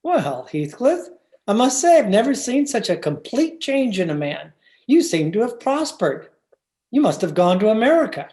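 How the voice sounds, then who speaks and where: A woman playing a haughty, disapproving, stuffy married gentleman in an old-time radio drama. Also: a little high, sing-song, normal speed. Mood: surprised, stiff.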